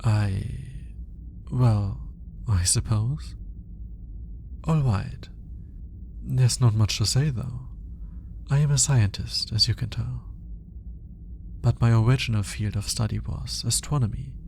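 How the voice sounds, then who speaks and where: An awkward, analytical alien scientist, acted by a man for an intimate ASMR roleplay. He muses slowly in formal, hesitant phrases, shyly smitten with his listener. His voice is dark and mellow with a lot of gravelly fry in it. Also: mid-range voice, slight background noise. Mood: reluctant, wistful. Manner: hesitant, formal, articulate musing with sighs and pauses. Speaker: voice actor.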